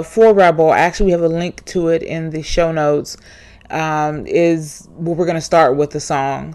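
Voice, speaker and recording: Lady podcast host, kinda low voice, chill, lots of ums. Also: darkish tone. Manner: easygoing, with frequent ums and restarts. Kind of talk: casual show intro and interview.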